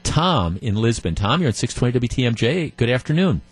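Plauderhafter Radiomoderator mit Stottern. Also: male voice, mid-range voice, big pitch swings, fairly quick, breathy edge, dry recording. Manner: stammering restarts, chuckling, chatty. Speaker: radio talk show host. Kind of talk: call-in radio chat. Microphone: studio microphone.

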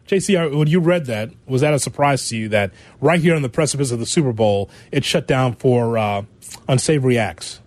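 A man's voice: medium pitch, fairly quick, darkish tone, no room sound. A man hosting a sports radio show, joking, laughing often and prompting his co-hosts in casual banter.

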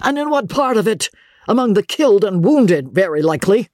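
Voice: medium pitch, sing-song, brisk, darkish tone, no room sound, studio quality, pitched above the performer's own voice, close to an everyday voice. A narrator voicing a proud, spendthrift young army officer with a hot temper in a period storybook. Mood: bitter, scornful.

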